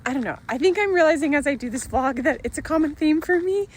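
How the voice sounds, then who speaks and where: Chatty, higher-pitched woman vlogger. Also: lively intonation, fast, a little hiss or hum. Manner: rambling, chatty, giggles often. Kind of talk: casual chat to camera.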